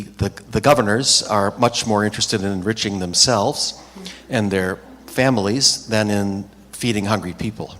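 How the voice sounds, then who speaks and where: A man with a lowish voice asks a pointed audience question, circling back with a few restarts. His voice carries a long reverb in a large hall. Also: fairly bright tone, a little hiss or hum. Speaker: audience member asking a question.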